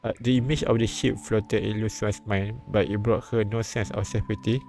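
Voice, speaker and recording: A man live-streaming a visual novel, reading it aloud haltingly and often repeating words. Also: lowish voice, steady tone. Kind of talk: read-aloud narration with casual asides. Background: soft game music.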